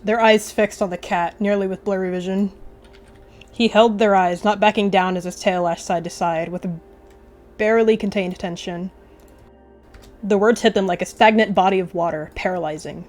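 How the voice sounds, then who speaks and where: A woman's voice: medium pitch, fairly even intonation, moderate pace, darkish tone, soft background music. Female roleplay narrator, mid-range voice, dramatic storytelling over a game. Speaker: roleplay narrator, video creator.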